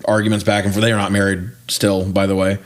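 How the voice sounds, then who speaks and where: A man in his forties with a fairly low voice talks as a film podcast co-host. His delivery is informal and conversational, with loose storytelling, casual swearing and a joking streak. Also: little pitch movement, fast talker. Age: 40s. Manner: informal, profane, jokey storytelling. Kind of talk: casual film review chat.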